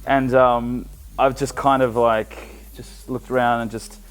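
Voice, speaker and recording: A man's voice: medium pitch, level tone, unhurried, faint music behind. A young man, a DJ and podcast host, telling a rambling story in a casual chat, swearing and laughing as he goes.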